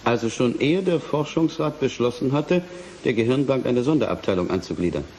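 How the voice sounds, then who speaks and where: An actor playing a courteous but probing prosecutor, questioning formally and pointedly in a German radio drama. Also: male voice, mid-range voice, lively intonation, brisk, a little mellow, slight background noise, close to an everyday voice, poor audio.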